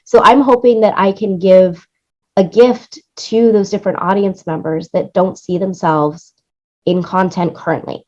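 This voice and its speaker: A woman's voice: mid-range voice, fairly even intonation, measured pace, darkish tone, lo-fi sound. A woman in her late thirties talking casually in an interview, emphatic and repeating her points for stress. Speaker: interview guest, music supervisor and producer.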